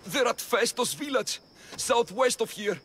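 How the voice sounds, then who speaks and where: An actor playing a nervous, self-serving male henchman in a video game cutscene, halting and bargaining. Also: very high voice, fairly bright tone, slight background noise. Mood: nervous, evasive.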